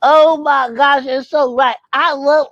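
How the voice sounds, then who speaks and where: A performer voicing an excitable, childlike puppet book sidekick who eagerly stumbles over words. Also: high voice, slow pace, no echo, lo-fi sound, pitched above the performer's own voice. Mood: gushing.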